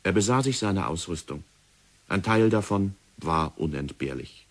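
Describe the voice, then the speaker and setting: A man narrating an old radio drama in a measured storytelling manner. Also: sits low, lively intonation.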